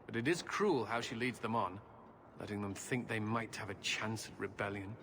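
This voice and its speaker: A grave, formal holy knight. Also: male voice, on the low side, normal speed, a bit bright. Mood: solemn, troubled. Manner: formal, solemn. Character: grave, conflicted knight officer of a holy order. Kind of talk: fantasy game acting. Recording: faint background noise.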